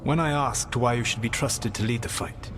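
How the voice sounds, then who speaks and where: An actor as an ancient elven trickster from a fantasy game. He speaks in a medium male pitch, formal and composed, weighing each word, with clear rumbling ambience behind him. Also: normal speed, a bit bright, slight background noise, natural voice. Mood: calculating. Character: ancient elven trickster god, a man, calm and calculating.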